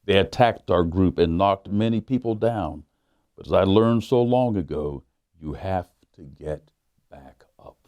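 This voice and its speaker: A man, a pastor, reading a children's book aloud in a measured, emphatic way with dramatic pauses. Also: sits low, a little mellow, no echo. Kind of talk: storybook read-aloud for children.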